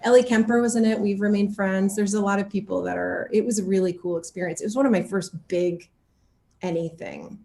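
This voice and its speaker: A woman talks casually as an interview guest. Her medium-pitched voice is animated and given to playful exaggeration. The recording has a clear room echo around her. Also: a little fast, slight background noise. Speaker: actor being interviewed. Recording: roomy, echoing sound.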